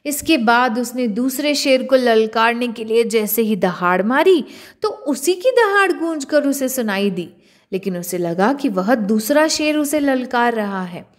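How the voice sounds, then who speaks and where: A woman narrating a scripted children's story in an expressive storyteller's style. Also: on the high side, a little slow.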